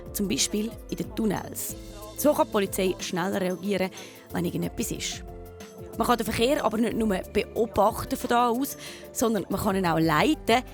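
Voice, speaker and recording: A woman's voice: medium pitch, creaky. A woman with a Swiss German accent, presenting and narrating a scripted children's documentary.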